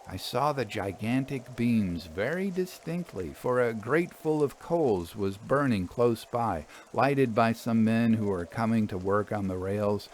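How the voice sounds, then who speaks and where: Slow, expressive man reading a ghost story. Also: medium pitch, slight background noise. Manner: measured, suspenseful, expressive phrasing. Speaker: storyteller reading fiction aloud. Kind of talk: dramatic literary ghost story narration.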